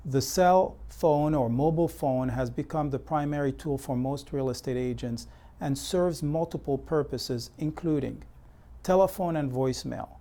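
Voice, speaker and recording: A man delivering scripted instructional narration as an educational presenter, in a measured, methodical, explanatory way. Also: a little high, dark tone. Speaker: presenter of real estate consumer education.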